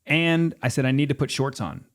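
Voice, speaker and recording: Man in his fifties, mid-pitched voice, casual podcast guest, conversational storyteller, quotes dialogue as he goes. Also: brisk. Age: 50s. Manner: animated retelling with quoted dialogue. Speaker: podcast guest, former sports mascot performer.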